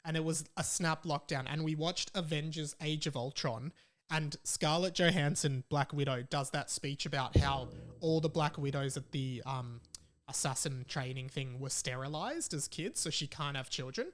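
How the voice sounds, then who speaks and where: A man's voice: on the high side, normal speed. A young adult man with an Australian accent, hosting a casual podcast in a rambling, jokey way. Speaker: podcast host. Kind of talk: casual solo podcast chat.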